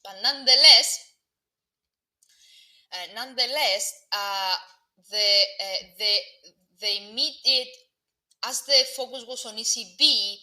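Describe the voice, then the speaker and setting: A woman's voice: a little high, slow, pauses often, bright, forceful tone. A young woman with a Greek Cypriot accent giving live market commentary to online viewers, hesitant with many fillers and restarts. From Cyprus. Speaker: market analyst presenting a live webinar.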